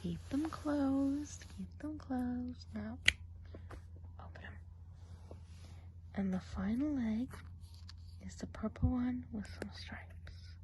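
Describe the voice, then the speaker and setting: A woman voicing a gossipy woodland witch who gives a soft, half-whispered ASMR eye exam. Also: on the high side, takes it slowly, long pauses, noisy background, voice pushed up. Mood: gentle. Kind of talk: ASMR role play.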